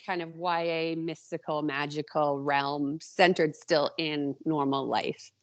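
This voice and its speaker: A woman with a slightly low-pitched voice talks as a guest author on a podcast. Her delivery is chatty and informal, and she often acts out her own thoughts in passing. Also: steady tone, slow. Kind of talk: casual conversation.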